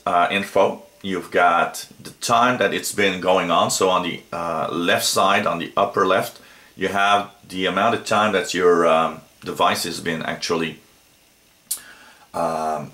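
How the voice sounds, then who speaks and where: A man with a very deep voice talks through an app demo in a roomy, echoey space. His tone is bright and forward, and his phrasing is casual and stop-start, as an unscripted reviewer. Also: unhurried. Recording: roomy, echoey home recording.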